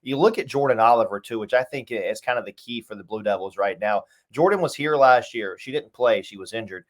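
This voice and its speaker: Fast-talking man giving sports analysis. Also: medium pitch. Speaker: radio play-by-play announcer interviewed as guest.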